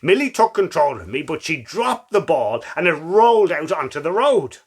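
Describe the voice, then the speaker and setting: A man voicing a loyal, excitable former stray dog in a hesitant, earnest storybook reading. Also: on the high side, normal speed, husky, full character voice.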